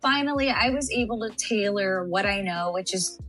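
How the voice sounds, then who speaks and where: A woman's voice: medium pitch, animated, normal speed, fairly bright tone, soft background music. Bubbly, chatty young Texan woman. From San Antonio, Texas. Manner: bubbly, chatty, laughs often. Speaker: interview guest. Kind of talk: casual podcast interview.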